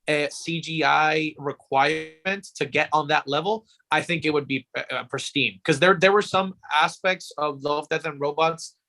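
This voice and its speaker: A man's voice: a little high, moderate pace, hardly any pauses, pushed and bright, rough recording. A man co-hosting a podcast, thinking aloud in informal, slangy casual chat. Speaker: podcast co-host.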